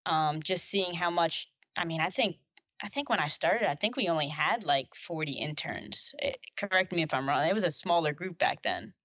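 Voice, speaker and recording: A woman's voice: sits low, animated, moderate pace, a bit bright, dry recording. A young adult woman speaking as a podcast guest in casual conversation, chatty, with frequent fillers and restarts. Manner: persistent-sounding, chatty, many restarts and fillers. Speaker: podcast guest, basketball staff.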